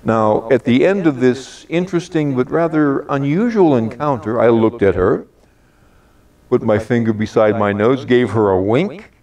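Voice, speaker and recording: An older man in his late sixties or beyond speaks as a practiced storyteller. His pitch rises and falls a great deal, and his phrasing is measured and deliberate. The microphone sounds some way off from him. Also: recorded from a distance. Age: late 60s or older. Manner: measured, deliberate, expressive delivery with drawn-out phrases. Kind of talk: rehearsed personal holiday storytelling to an audience.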